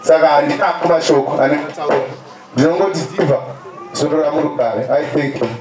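A man's voice: a little high, fast talker, recorded from a distance, crowd noise. A young man with a Zimbabwean accent giving a funeral tribute to a gathering through a PA. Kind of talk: eulogy to a large gathering. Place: open-sided stadium stand outdoors. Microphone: handheld microphone over PA. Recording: echoey outdoor PA.

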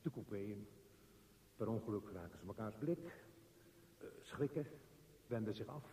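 Guy playing a uneasy brooding train traveller musing in bits, mellow voice, radio drama, bit of noise. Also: lowish voice, lively intonation, close to an everyday voice. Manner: halting, musing, fragmentary sentences. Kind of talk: radio drama interior monologue. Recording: noisy radio play, echoey, reverberant.

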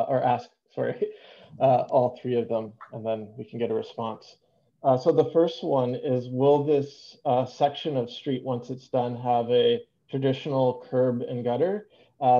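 A man's voice: mid-range voice, mellow. A man with a Canadian accent, a city councillor asking staff questions over a video call, hesitant with many fillers. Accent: Canadian English. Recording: dull lo-fi video call audio.

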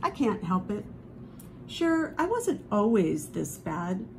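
A woman reading a children's picture book aloud expressively, with dramatic drawn-out emphasis on key phrases. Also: mid-range voice, sing-song, creaky, a little hiss or hum. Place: indoors at a table, book held before camera.

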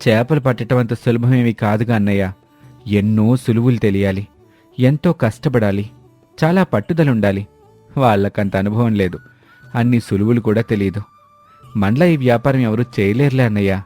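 Man as a mellow, kind younger village brother. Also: on the low side, normal speed, soft background music, lightly acted. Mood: reassuring. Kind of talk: dramatised moral story dialogue.

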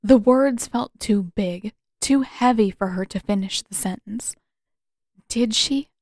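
A woman reading a story aloud as narrator, with expressive, measured, deliberately phrased dramatic delivery. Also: mid-range voice, no room sound. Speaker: narrator and author. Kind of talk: expressive audiobook narration of fan fiction.

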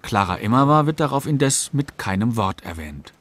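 A man reading scripted documentary narration in a factual, measured manner. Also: medium pitch, sing-song, a bit bright.

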